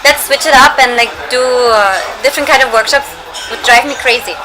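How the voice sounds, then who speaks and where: A young woman speaks in a slightly high voice, chatty and playful, laughing often as she promotes her film. Her first language is not Hindi. Steady noise sits behind her at the press event. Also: fairly quick. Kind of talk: press interview.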